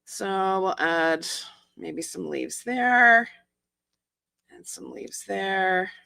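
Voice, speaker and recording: Hoarse chatty woman teaching card-making. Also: medium pitch, lively intonation, very slow, sharp tone, dry recording. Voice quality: croaky. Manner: chatty, explains each step with asides and small laughs. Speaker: stamping and card-making demonstrator. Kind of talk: casual live craft tutorial.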